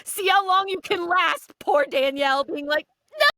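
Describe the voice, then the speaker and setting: A woman with a high, sharp, bright voice banters on a podcast. She is sassy and acts out quotes as she tells stories. It is recorded dry and close. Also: moderate pace, dry recording. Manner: sassy, animated storytelling with acted-out quotes. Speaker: podcast cast panelist. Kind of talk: casual banter.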